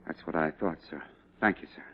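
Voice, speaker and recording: An actor playing a respectful, hesitant cavalry officer in mid-century dramatic style. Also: male voice, a bass voice, fairly even intonation, fairly quick. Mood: anxious, hesitant. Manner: hesitant, deferential. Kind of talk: mid-20th-century American dramatic acting. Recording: dull, noisy old radio-era recording.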